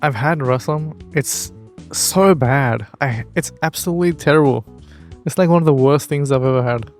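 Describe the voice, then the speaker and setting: A man's voice: a little high, normal speed, darkish tone, soft background music. A man hosting a reaction video, commenting with wry, blunt amusement. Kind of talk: casual commentary over a video.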